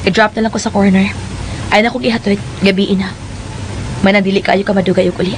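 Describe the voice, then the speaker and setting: A woman's voice: mid-range voice, fairly even intonation, fast, natural voice. A woman playing a guarded, hurt young woman in a radio drama, curt and evasive. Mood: guarded, upset. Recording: clear hiss-like noise behind the voice.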